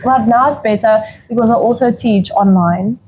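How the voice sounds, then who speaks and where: A woman's voice: fairly high pitch, moderate pace, darkish tone. A woman with an Afrikaans accent, a native Afrikaans speaker, chatting candidly as a guest, often trailing off to search for words. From South Africa. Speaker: podcast guest. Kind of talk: casual chat. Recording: noisy room recording.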